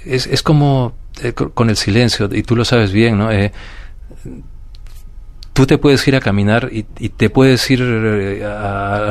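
A man with a Peruvian accent, a guest speaking calmly and reflectively in an interview, often restarting and asking "you know?". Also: medium pitch. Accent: Peruvian Spanish. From Peru. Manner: calm, thoughtful, many restarts and "¿sabes?". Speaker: guest, paranormal investigator.

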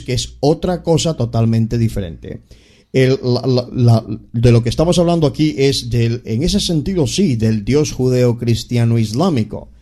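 A man with a Latin American Spanish accent lectures as a guest expert on a talk show. His voice is mellow and warm, with emphatic delivery and frequent restarts that repeat a word. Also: medium pitch.

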